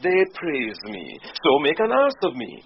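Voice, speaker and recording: A lo-fi radio play recording of a mocking jester. His highish voice swoops dramatically through teasing, singsong wordplay, very theatrical and sly. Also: wildly swooping pitch, measured pace, creaky, exaggerated voice. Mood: wry teasing. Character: mocking, quick-witted male jester, teasing and begging coins. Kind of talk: classical radio drama acting, Shakespearean comedy. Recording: dull lo-fi radio recording, background noise.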